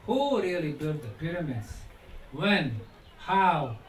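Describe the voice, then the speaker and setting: A man's voice: fairly high pitch, sing-song, slow pace, soft background music. Emphatic man lecturing in echoey space. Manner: emphatic, short punchy phrases. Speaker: conference host and lecturer. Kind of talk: public lecture. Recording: echoey hall, distant mic, faint noise.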